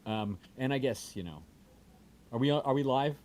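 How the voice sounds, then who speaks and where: A man's voice: mid-range voice, expressive, normal speed, a little mellow. A man chatting casually on a livestream in a rambling, sweary way. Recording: faint background noise.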